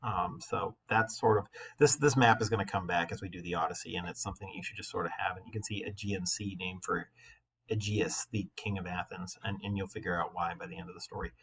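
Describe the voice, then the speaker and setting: A man with a bright, somewhat forceful voice and a husky edge to it. He speaks as a teacher, moving between expressive read-aloud storytelling and informal classroom explanation, with a conversational way of commenting as he goes. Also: deep voice, a little fast, low-quality recording.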